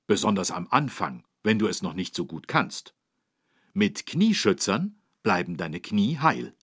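Low husky animated male narrator. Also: measured pace, dry recording, clean studio sound. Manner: clearly phrased, encouraging, animated. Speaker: narrator of a children's learning game. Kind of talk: scripted narration, explaining to young children. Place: dry studio.

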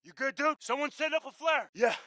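Brash American male Marine, bright forceful voice, swings from terse to barked, military shooter game. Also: very high voice, expressive, a little fast, no room sound, heavily acted. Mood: alarmed. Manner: barked, profane, clipped military talk. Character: battle-hardened young male US Marine, brash and commanding.

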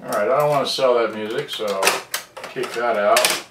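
A man's voice: mid-range voice, normal speed, over loud music. An American man, middle-aged, giving a casual, unscripted demonstration, rambling to himself as he works at a bench. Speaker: vintage cassette player repairer and seller. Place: cluttered indoor workbench. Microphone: camera mic at a distance. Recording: roomy, distant camera mic, noisy, clicks.

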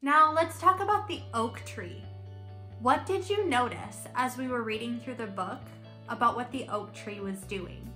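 A young woman reading and discussing a picture book for small children, in an expressive, questioning teacher's manner. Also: fairly high pitch, unhurried. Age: young adult. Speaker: children's story-time teacher. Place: room at home, indoors. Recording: roomy echo, music underneath.

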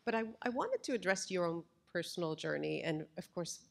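A woman interviewer puts questions in a conversational style during an onstage talk. Her voice is slightly low, with noticeable creak. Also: sing-song, fairly quick. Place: indoor stage with wood-slat backdrop. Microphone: microphone on boom stand.

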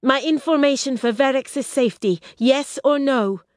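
A woman voicing a proud, demanding young princess in an audiobook reading, speaking high and forcefully. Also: moderate pace, no room sound, clean studio sound, natural voice. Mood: insistent.

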